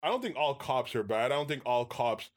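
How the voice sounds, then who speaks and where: A man in a casual podcast discussion, speaking in a measured way and steering the talk back to his argument. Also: medium pitch, expressive.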